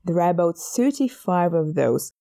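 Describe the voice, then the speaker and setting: A woman's voice: lowish voice, sing-song, normal speed, creaky, dark tone. A woman hosting a podcast, reading a scripted narration in a playful, chatty way and speaking straight to the listener. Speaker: podcast host.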